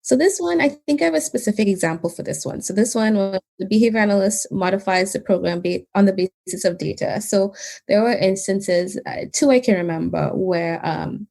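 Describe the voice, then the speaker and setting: A woman from Trinidad and Tobago, speaking with a blend of Canadian and Trinidadian accent, talks calmly and in a measured way as a podcast guest, often laughing lightly. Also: mid-range voice, creaky. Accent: Trinidadian mixed with Canadian. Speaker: guest, behaviour analyst. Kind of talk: casual interview conversation.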